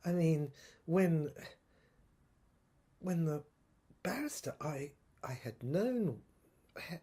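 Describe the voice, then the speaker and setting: A woman playing a troubled soldier in an audio drama monologue, thinking aloud in a halting, stammering, husky voice. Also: sits low, very animated, warm tone, exaggerated voice. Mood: musing, uneasy. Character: troubled soldier piecing together a dead professor's secret diary.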